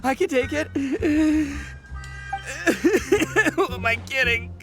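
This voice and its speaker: A man voicing a brash, boastful male oni gang boss in a video game, loud and stammering with frequent laughs. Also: very high voice, expressive, very slow, music in the background, exaggerated voice. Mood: struggling.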